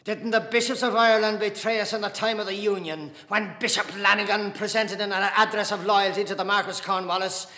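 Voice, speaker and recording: A man's voice: mid-range voice, fairly even intonation, slow, hardly any pauses, sharp tone. A man voicing a fiery, bitter Irish nationalist in a storybook reading, declaiming accusations against the clergy with defiance. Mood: accusing, indignant. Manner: declaiming, accusatory rhetorical questions. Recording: echoey hall reverb.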